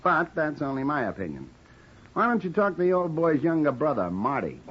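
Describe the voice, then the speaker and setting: A man's voice: a little high, very animated, fairly quick. A man playing a guarded, cynical private detective working as a bodyguard in an old radio noir drama. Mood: guarded, confiding. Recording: dull old radio recording, faint noise.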